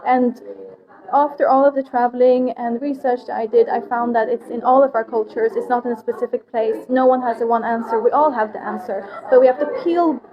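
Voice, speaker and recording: A woman raised in Sweden talks through her food project as an interview guest. She explains in plain, earnest steps in a high voice. Crowd chatter runs behind her. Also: fairly even intonation, a little fast, highs cut off. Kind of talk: casual interview conversation.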